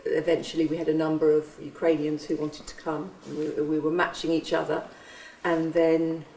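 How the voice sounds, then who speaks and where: Earnest woman giving testimony; gravelly, mellow, recorded distant in a reverberant space. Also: sits low, flat delivery. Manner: earnest, halting with restarts. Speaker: church member and refugee host. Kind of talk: reflective testimony. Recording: roomy, from a distance.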